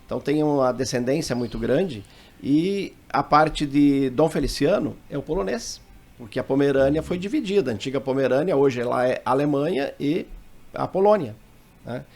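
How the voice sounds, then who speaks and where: A man from southern Brazil joins a radio interview, talkative and full of local facts. His voice is mid-pitched with a gravelly edge. He speaks in a studio setting. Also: lively intonation, moderate pace, a little mellow. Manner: talkative, lists places and facts. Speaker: local association member.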